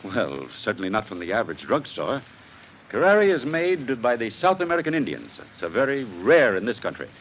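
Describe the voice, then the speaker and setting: An actor playing a learned, authoritative doctor in a 1940s radio mystery, speaking in a precise, clinical manner. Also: male voice, medium pitch, sing-song, fairly quick, lightly acted. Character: authoritative, learned male doctor at a crime scene. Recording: muffled, hissy 1940s radio recording.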